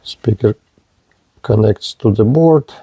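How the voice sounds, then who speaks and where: A man demonstrates a product in a soft-edged, mellow voice. He speaks very slowly, in short and halting phrases. His delivery is low-key and matter-of-fact, like a practical hands-on review. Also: slight background noise.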